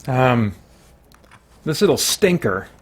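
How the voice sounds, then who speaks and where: A man with a mid-pitched voice talks through a tabletop game as he plays it alone. His commentary is casual and chatty, full of thinking aloud and mild exclamations. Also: big pitch swings, takes it slowly. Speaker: host playing a solo tabletop game.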